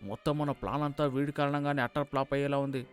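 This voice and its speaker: A man voicing a scheming, nervous middle-aged father in a hushing, scolding manner. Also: mid-range voice, little pitch movement, fast, no room sound, soft background music, lightly acted. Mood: anxious scolding.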